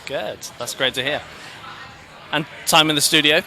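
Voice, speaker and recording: A man's voice: a little high, moderate pace, pushed and bright. A man interviewing a band outdoors at a festival, friendly with hesitant, stumbling restarts.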